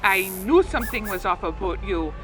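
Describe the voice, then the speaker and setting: A woman's voice: medium pitch, sing-song, normal speed, music in the background, close to an everyday voice. A woman playing a haughty, bullying military officer in a sci-fi comedy audio drama, imperious and barking, often ending phrases with "eh". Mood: stern, threatening.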